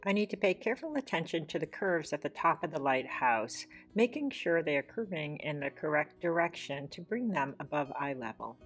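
A woman with a low voice talks through a watercolor demonstration step by step. Her delivery is measured and unhurried. Faint guitar music plays behind her. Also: lively intonation. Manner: measured, explains each step plainly. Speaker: watercolor teacher. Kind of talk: calm step-by-step painting demonstration voice-over. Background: soft guitar music.

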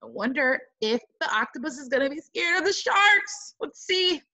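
A woman reading a picture book aloud to children like a teacher, dramatic and expressive, with exclaiming asides. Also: high voice, normal speed.

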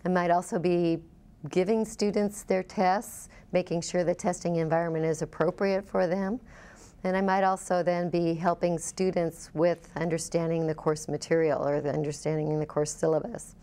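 Mellow lower-voiced woman instructor explaining. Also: flat delivery, normal speed. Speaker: college instructor. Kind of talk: explanatory talk about a teaching program.

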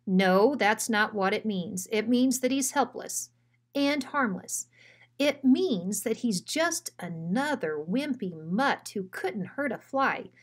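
A woman's voice: a little high, animated, slow. A cocky, bossy dog from a children's storybook, read by a woman. He gives orders with emphatic self-assurance. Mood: cocky, commanding.

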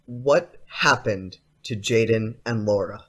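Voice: mid-range voice, takes it slowly, fairly bright tone. A performer playing a frightened, defiant young boy in an audio play, questioning and alarmed. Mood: wary, accusing.

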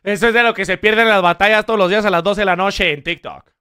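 A man's voice: high-pitched, fast, pushed and bright, smooth. A Mexican man streaming, reacting to clips in joking, crude casual commentary.

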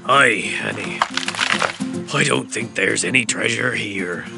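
An actor playing Captain John, a jolly bearded pirate captain, in a cartoon with music behind. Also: male voice, on the low side, takes it slowly, gravelly, heavily acted. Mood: hearty.

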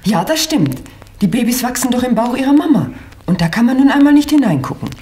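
A woman's voice: fairly high pitch, very animated, a little fast. Lilting, patient mother. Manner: gentle, explanatory. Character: patient, kindly explaining mother of a small girl. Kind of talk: 1970s German children's radio play acting.

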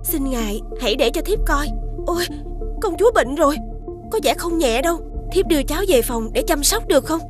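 Woman voicing a cartoon witch, scheming, haughty, fairly high voice, music underneath. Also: lively intonation, brisk. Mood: sweet. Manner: haughty, commanding to servants, sweet to the king. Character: scheming woman, jealous witch posing as queen's sister. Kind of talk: dubbed cartoon acting. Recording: cartoon soundtrack with music behind.

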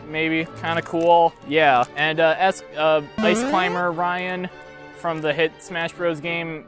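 A young man rambling through a toy unboxing outdoors by a field, joking deadpan and halting with lots of fillers. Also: fairly high pitch. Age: young adult. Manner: rambling, self-mocking, halting with many fillers. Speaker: YouTuber unboxing toys. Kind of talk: jokey deadpan unboxing vlog. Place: outdoors by a field under trees. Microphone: lapel mic.

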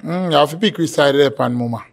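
A young man gives a relaxed, teasing take on a dating show contestant. His voice sits a little high for a man. He speaks into a handheld mic. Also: fast talker, vocal fry. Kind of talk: casual chat.

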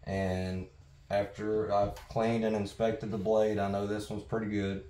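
A man's voice: lowish voice, flat delivery, a little slow, mellow. A middle-aged man giving a hands-on demonstration in a wood-walled workshop, explaining each step plainly with some restarts. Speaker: sawmill blade technician.

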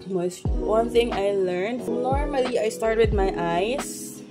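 A young woman from the Philippines, chatting self-consciously through a makeup routine to a phone camera in her bedroom. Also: a little high. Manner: slow, self-conscious and rambling, with many restarts. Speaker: beauty vlogger. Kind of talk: casual get-ready-with-me makeup talk. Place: bedroom at home, indoors. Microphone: phone camera at arm's length. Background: background music.

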